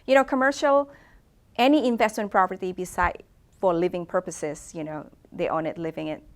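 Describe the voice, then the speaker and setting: Middle-aged woman, low and gravelly, hesitant answers with many fillers. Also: brisk. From Indonesia. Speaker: real estate agent, guest. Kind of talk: casual interview answers.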